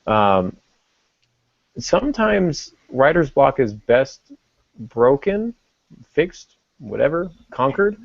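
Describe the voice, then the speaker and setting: Male podcast host, middle-aged, gravelly low voice, reflective, stop-start casual talk, dull lo-fi sound. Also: sing-song, slow pace, pauses often, dry recording.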